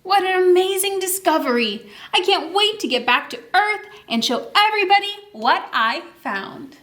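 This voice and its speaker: A woman voicing an excitable, oblivious little male astronaut in a children's storybook, exclaiming with wonder. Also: very high voice, normal speed, pitched above the performer's own voice. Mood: delighted. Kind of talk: children's picture-book character read aloud.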